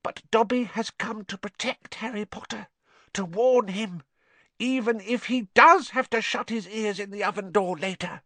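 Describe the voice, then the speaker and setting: A performer voicing a small male house-elf, servile and anxious, with a squeaky, fretful, reverent way of speaking for a storybook. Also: normal speed, fairly bright tone, no room sound, natural voice. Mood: tearful, pleading. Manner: reverent third-person speech, fretful.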